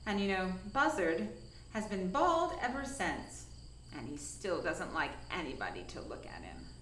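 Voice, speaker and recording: A woman reading a children's story aloud with dramatic, deliberate emphasis. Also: fairly low pitch, sing-song, a bit bright. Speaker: storyteller from a science museum. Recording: echoey room, faint insect-like noise.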